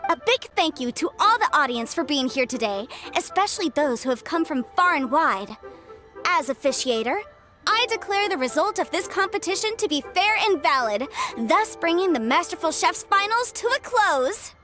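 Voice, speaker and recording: A woman's voice: extremely high pitch, very animated, moderate pace, sharp tone, faint music behind, full character voice. A woman playing a young, upbeat contest officiator in a video game, speaking in formal, ceremonious announcements.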